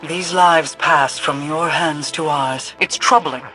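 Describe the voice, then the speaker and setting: A woman playing a regal, resolute queen in a video game, speaking in formal, measured, cryptic phrases. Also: low voice, over music, low-quality recording. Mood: troubled. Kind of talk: scripted video game acting.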